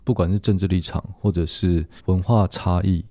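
A man's voice: low-pitched, steady tone, moderate pace, dark tone, no echo. A man with a Taiwanese Mandarin accent chatting reflectively and earnestly, feeling his way through his phrases. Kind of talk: casual reflective chat.

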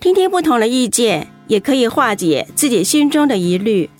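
A woman's voice: a little high, big pitch swings, normal speed, soft background music. An actress playing an attentive, encouraging female radio host in an audio drama. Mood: attentive, chatty. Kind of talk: radio drama acting as presenter.

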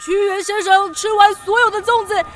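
A performer playing an excitable, showy contest emcee in a children's radio drama, announcing in an exclamatory, theatrical way. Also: extremely high pitch, moderate pace, faint music behind. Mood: showy, upbeat.

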